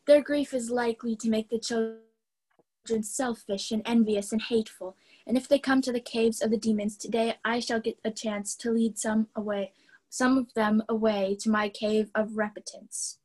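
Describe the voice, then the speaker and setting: A woman voicing a remorseful male demon who speaks softly and pleadingly in a storybook reading. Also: on the high side, steady tone, moderate pace, lightly acted. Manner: soft, pleading put-on voice.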